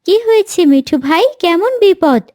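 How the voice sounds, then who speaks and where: A woman voicing a gentle, worried mother bird in a cartoon, speaking in a motherly, concerned way. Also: very high voice, lively intonation, moderate pace, dry recording, clean studio sound. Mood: concerned, reassuring.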